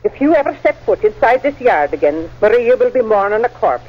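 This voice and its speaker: A woman's voice: fairly high pitch, big pitch swings, brisk. An actress playing a threatening, scornful ranch woman in old-time radio style, curt and commanding. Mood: menacing. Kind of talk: 1940s radio acting. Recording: dull old radio drama recording.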